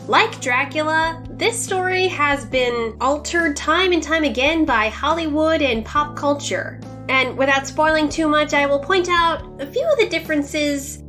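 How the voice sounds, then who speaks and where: A woman presents a review in a somewhat high-pitched voice. Her delivery sits between script and chat, with emphatic, informal asides. Music plays softly underneath. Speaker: book reviewer, host of a review series. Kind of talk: semi-scripted book review narration, conversational.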